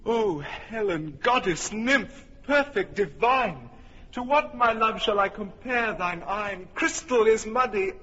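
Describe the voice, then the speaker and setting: A swooning young suitor pours out rapturous praise in declamatory verse. His voice is high and bright. The long reverb comes through on a dull, lo-fi recording. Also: animated, slow, full character voice. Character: infatuated young man, rapturous and boastful. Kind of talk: classic radio drama acting.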